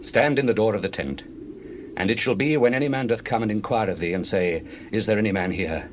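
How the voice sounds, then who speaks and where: A man's voice: lowish voice, fairly quick, a little hiss or hum, close to an everyday voice. A man playing a weary fugitive army commander in a dramatized scripture reading. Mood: wary, instructing.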